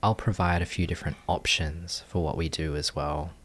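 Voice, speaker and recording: A man leading a guided meditation, giving gentle, calm instructions in measured phrases with long pauses. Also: low voice. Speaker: meditation teacher.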